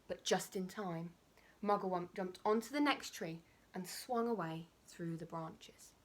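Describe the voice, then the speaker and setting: A woman reading a children's storybook aloud like a primary school teacher, with expressive, emphatic and dramatic phrasing. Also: fairly low pitch, unhurried.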